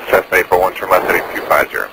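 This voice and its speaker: A man's voice: low-pitched, fairly even intonation, racing. A man working as an air traffic controller, giving procedural instructions over aviation radio. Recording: staticky aviation radio with clear noise.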